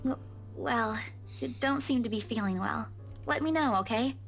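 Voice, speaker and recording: Gentle young female friend, highish pitch, apologetic and caring, visual novel game, music underneath. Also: moderate pace, gravelly. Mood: apologetic, concerned. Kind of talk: visual novel character dialogue.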